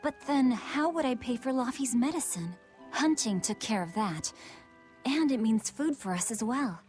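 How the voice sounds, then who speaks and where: A woman voicing an earnest, spirited teenage village huntress in a video game, with expressive delivery. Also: on the high side, moderate pace, soft background music, lightly acted. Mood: pensive.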